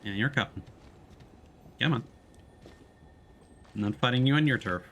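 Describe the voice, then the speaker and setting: A man's voice: medium pitch, moderate pace, fairly bright tone, a little hiss or hum. A man giving casual let's play commentary as a streamer, explaining and thinking aloud as he plays. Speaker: streamer playing a video game.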